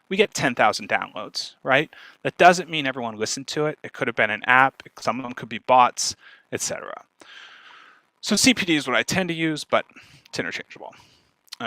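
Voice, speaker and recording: A man giving an informal instructional talk as a speaker, talking fast and animatedly and often checking in with his listeners. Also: mid-range voice, no echo, low-quality recording. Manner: fast, animated talker who checks in with "right?".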